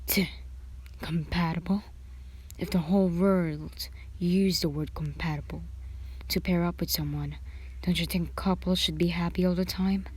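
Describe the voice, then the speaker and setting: A woman's voice: fairly low pitch, fairly even intonation, measured pace, husky. A woman voicing a bitter, defiant young male assassin who scoffs sullenly, in an anime fan fiction reading. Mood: sarcastic.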